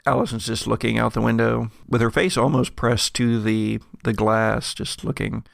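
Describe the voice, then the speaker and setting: Very gravelly man narrating as game master. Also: mid-range voice, little pitch movement, normal speed, no room sound, clean studio sound. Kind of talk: descriptive narration of a tabletop horror roleplaying game.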